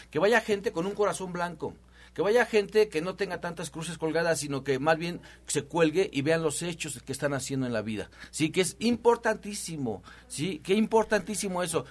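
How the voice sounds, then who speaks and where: A man's voice: sits high, normal speed. A man hosting a promotional health talk, speaking loosely with many repeats and fillers. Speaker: host of a health show.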